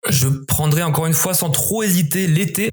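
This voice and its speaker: A young adult man from southern France, a former special forces member, answering interview questions in a measured, reflective way with frequent restarts. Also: on the high side, soft background music. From southern France, near Montpellier.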